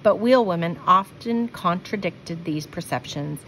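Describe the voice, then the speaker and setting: A woman's voice: on the low side, a little slow, fairly bright tone, poor audio. Woman reading a picture book, faint background noise. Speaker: storybook reader. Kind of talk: children's picture book read-aloud with asides.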